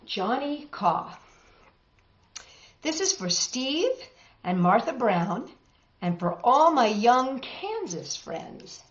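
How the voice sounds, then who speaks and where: A woman reading picture books aloud to children, expressive and exclaiming, lingering on words and adding chatty asides. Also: on the high side, takes it slowly, long pauses. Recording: dull, echoey room recording.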